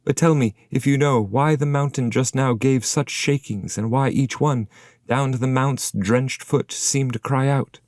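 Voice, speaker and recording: A dignified ghostly teacher and guide speaks in formal, declamatory verse, played by a male reader. The pitch is lifted above the reader's narration, and the epic audiobook recording is dry. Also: measured pace, darkish tone, no echo, lightly acted. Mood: dignified explaining.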